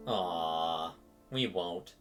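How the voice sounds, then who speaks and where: A man's voice: deep voice, extremely slow, faint music behind. A man streaming a casual reaction to a game, speaking hesitantly with wry sympathy. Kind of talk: casual game reaction commentary, reading along.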